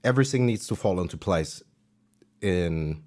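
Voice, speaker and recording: A man with a German accent talks through his livestream in a loose, rambling way. His voice sits fairly low, and he often pauses on fillers and pokes fun at himself. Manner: relaxed, self-deprecating, rambling with fillers. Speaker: livestream musician host. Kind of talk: casual livestream chat.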